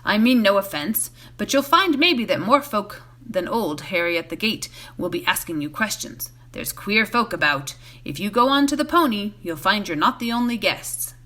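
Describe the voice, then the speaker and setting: A woman reading a storybook, voicing a gruff, suspicious village gatekeeper who probes and mutters. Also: lively intonation, normal speed, hardly any pauses, pushed and bright. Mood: placating, warning. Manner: gruff, probing, muttering low. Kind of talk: storybook character voice in a read-aloud.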